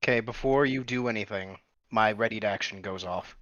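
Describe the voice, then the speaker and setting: A man's voice: on the low side, normal speed, a bit bright, no room sound. Man on a dull, dry lo-fi mic. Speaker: player. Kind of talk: casual game chat.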